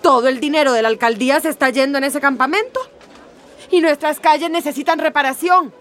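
A woman's voice: high-pitched, brisk, fairly bright tone. A woman playing a scornful, argumentative townswoman in a radio drama, haughty and exclamatory. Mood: scornful, agitated. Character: scornful, indignant townswoman campaigning against migrants. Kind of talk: Spanish-language radio drama acting.

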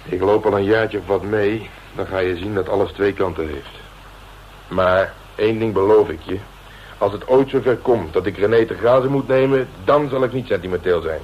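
An actor playing a gruff, streetwise veteran police detective, blunt and domineering in colloquial radio drama dialogue. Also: male voice, lowish voice, moderate pace, lightly acted. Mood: resolute. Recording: dull, noisy old radio recording.

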